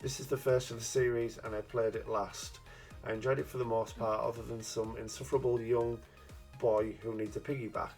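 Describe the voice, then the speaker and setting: A man's voice: mid-range voice, little pitch movement, brisk, creaky, a little mellow, faint music behind, rough recording. A man hosting a casual gaming review chat, cheeky and jokey, often laughing at his own innuendo. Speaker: gaming channel host.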